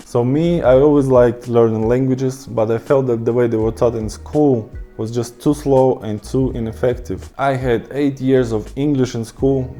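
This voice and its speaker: A man's voice: medium pitch, mellow. A man whose first language is Russian, explaining his language-learning method to camera in an upbeat, instructive way. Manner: upbeat, instructive, emphatic lists. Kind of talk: scripted talk to camera.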